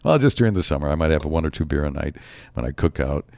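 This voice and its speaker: A man hosting his own show in a rambling solo monologue, his voice a bit raspy from a slight cold. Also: a bass voice, big pitch swings, quick pace, a little mellow, no echo, studio quality. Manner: rambling, musing, frequent asides. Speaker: show host.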